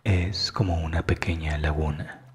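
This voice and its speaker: A man narrating a scripted guided meditation in a calm, soothing manner that is hushed at times. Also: rumbling low, moderate pace, a little hiss or hum.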